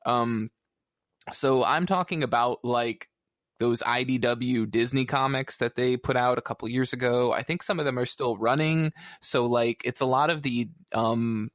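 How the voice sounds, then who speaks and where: A man's voice: medium pitch, measured pace, fairly bright tone, dry recording, studio quality. A man co-hosting a podcast, talking casually in a rambling, hesitant way with tongue-in-cheek asides. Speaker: podcast co-host. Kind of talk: casual comic book review chat.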